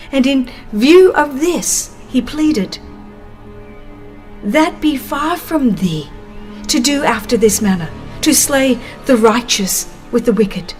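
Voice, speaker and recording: A woman with a fairly high voice reads scripture-style narration very slowly. Her delivery is solemn and expressive, with a reverent weight on the phrases. Soft music plays underneath. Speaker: audiobook narrator. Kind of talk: dramatized scripted narration of religious book.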